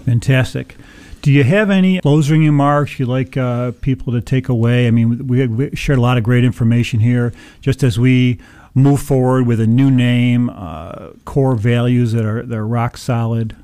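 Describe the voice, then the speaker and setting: A man's voice: mid-range voice, fairly even intonation, moderate pace, mellow, clean tone, no echo. A man filling in as podcast host, asking questions and signing off in a friendly way with a few fillers. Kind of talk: podcast interview and sign-off.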